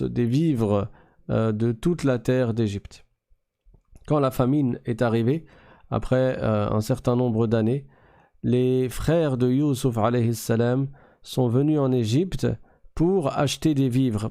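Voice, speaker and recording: A man giving a religious storytelling lesson as a teacher, speaking didactically in short, measured phrases. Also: mid-range voice, warm tone.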